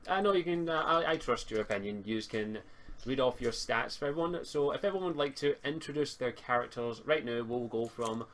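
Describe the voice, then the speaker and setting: A man's voice: mid-range voice, expressive, fairly quick, a bit bright. A man chatting casually as game master and host of a tabletop roleplay session over a group voice call. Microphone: computer mic on internet voice call. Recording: noisy home mic on group voice call.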